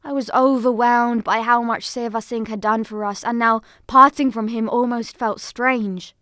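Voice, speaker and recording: A woman narrator giving a scripted first-person dramatic account in a solemn, measured storytelling manner. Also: fairly high pitch, fairly even intonation, sharp tone, dry recording, clean studio sound.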